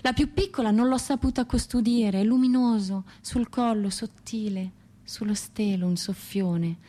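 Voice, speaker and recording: An actress playing a tormented, romantic and proud woman poet and grieving mother, delivering a dramatic, intense radio monologue with deliberate pauses. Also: fairly high pitch, fairly even intonation, warm tone, natural voice. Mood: mournful, proud. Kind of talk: theatrical radio drama monologue with poetry recitation.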